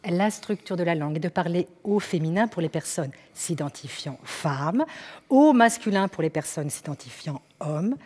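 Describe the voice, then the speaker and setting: A woman's voice: fairly low pitch, animated, normal speed, darkish tone, breathy edge, long reverb tail. A woman performing a declamatory stage text with emphatic, rhythmic recitation.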